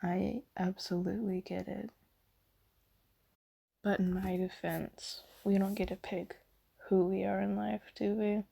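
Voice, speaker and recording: Kindly female demon played by a woman for ASMR comfort roleplay: very dark, soft tone, slow, hesitant, reassuring. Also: mid-range voice, flat delivery, exaggerated voice. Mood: apologetic, gentle. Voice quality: soft, breathy, half-whispered. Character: kindly apologetic female sleep paralysis demon, gentle comforter.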